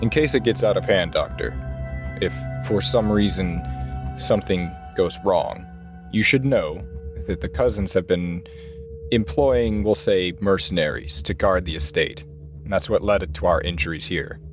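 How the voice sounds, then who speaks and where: A man playing a formal, probing 1920s investigator, questioning in a deliberate, articulate way. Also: deep voice, soft background music. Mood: serious, probing.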